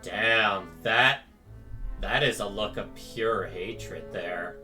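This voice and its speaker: A man's voice: lowish voice, very slow, bright, forceful tone, faint music behind. A man streaming a casual reaction to a game, speaking hesitantly with wry sympathy. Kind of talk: casual game reaction commentary, reading along.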